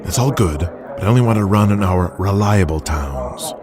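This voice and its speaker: An actor playing a hard-nosed, commanding wrestling promotion boss in an audio drama. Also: male voice, low-pitched, expressive, normal speed, a crowd in the background. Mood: firm, businesslike. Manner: blunt, commanding.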